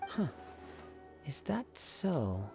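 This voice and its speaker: A man voicing a calm, polite, thoughtful young wandering samurai in a video game. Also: on the high side, drawn-out words, gravelly, over music, heavily acted. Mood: careful. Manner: polite, composed, soft-mannered.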